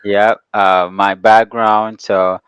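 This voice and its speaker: A man from Ghana speaks as an interview guest in a fairly low, clean and resonant voice. He explains things casually and haltingly. The recording is close and dry. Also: level tone, a bit bright, clear, resonant tone, no room sound, lo-fi sound. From Ghana, later the Bronx, New York. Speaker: guest, real estate developer.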